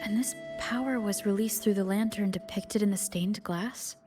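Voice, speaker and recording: A woman's voice: medium pitch, normal speed, over music, natural voice. A woman voicing a thoughtful game character who asks questions in an earnest, articulate way. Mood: inquiring. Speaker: video game story character. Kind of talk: scripted game dialogue.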